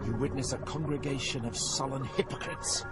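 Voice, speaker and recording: A grave guide to the underworld, acted by a man, speaks in a medium-pitched voice. He explains with solemn poetic weight, as in a dramatized epic, over clear background music. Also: fairly even intonation, normal speed, natural voice. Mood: grave, calm. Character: solemn male poet guide through Hell. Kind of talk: dramatized audio performance of epic poem.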